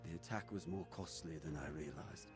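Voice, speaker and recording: Earnest fantasy college leader over loud music. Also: male voice, very deep voice, moderate pace. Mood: dismayed. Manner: formal, declamatory. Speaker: quest-giver character in a fantasy video game. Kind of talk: scripted game dialogue. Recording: game dialogue under loud music.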